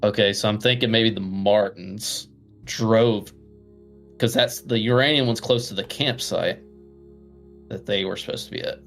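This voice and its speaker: Low-voiced man at a game table. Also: fairly even intonation, measured pace, fairly bright tone, soft background music. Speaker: player in a tabletop role-playing game. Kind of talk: casual game talk, questioning.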